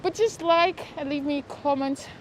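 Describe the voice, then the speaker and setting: A woman's voice: high-pitched, moderate pace. A woman with a Dutch accent hosting a live walking tour outdoors, chatting with her viewers and reacting to what she passes. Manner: chatty, reacts aloud, talks to viewers by name. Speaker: livestream walking-tour host. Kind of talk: casual live walking commentary. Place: outdoors on city streets. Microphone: lapel mic while walking. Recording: street noise behind.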